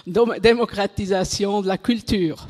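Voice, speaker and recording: A woman giving a conference talk in French, which is not her first language, halting and correcting herself as she goes. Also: medium pitch, a little mellow. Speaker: conference speaker. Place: conference hall. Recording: reverberant hall.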